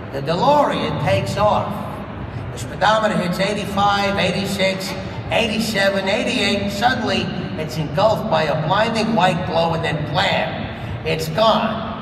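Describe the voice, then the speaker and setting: A man reading stage directions aloud as a comic, animated narrator at a live table read. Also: sits high, a little slow, gravelly. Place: large convention hall stage. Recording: echoey hall from far off, loud noise.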